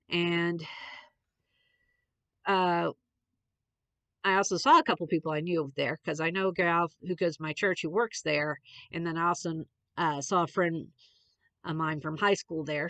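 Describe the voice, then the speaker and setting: A woman's voice: lowish voice, a bit bright, no echo. A young adult woman, a little congested, chatting in a rambling, meandering way with many fillers as a podcast host. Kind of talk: rambling casual chat.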